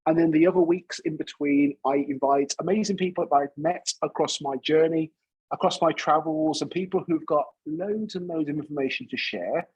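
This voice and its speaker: A man's voice: fairly high pitch, moderate pace, a little mellow, slightly rough, low-quality recording. A British man hosting a coaching community's livestream, talking in a chatty, rambling, upbeat way. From United Kingdom.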